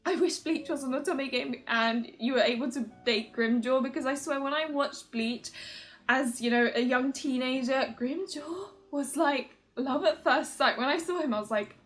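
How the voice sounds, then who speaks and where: A woman with a fairly high voice talks casually to her viewers as the host of a fan video. Her manner is giggly and enthusiastic. She rambles informally and is quick to make fun of herself. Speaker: video host, otome game reviewer. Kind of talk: casual chatty commentary to viewers.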